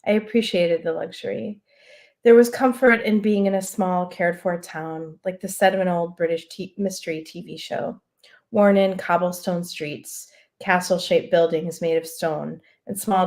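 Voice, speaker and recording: A woman author reading her own literary prose aloud over a video call, unhurried and soft-spoken, with casual asides. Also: fairly low pitch.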